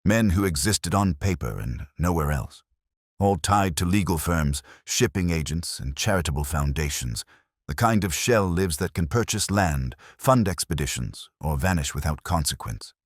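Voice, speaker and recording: A man voicing a grave, incisive detective in an audiobook, speaking precisely and deliberately. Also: deep voice, lively intonation, no echo, studio quality.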